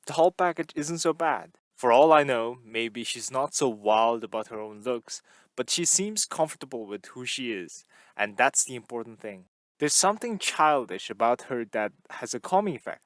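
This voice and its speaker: Calm man reading a novel aloud. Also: mid-range voice, dry recording. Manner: calm, even first-person narration. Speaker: audiobook reader.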